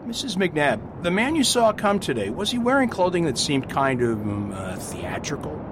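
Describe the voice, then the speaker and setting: An actor playing a gentle, absent-minded priest detective in a radio drama, hesitant and courteous. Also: male voice, a little high, sing-song, normal speed, very noisy. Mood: courteous, inquiring. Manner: hesitant, polite.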